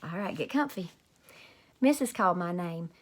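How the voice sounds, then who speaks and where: A woman's voice: on the low side, animated, moderate pace. Woman reading a children's story aloud. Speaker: read-aloud teacher, storyteller.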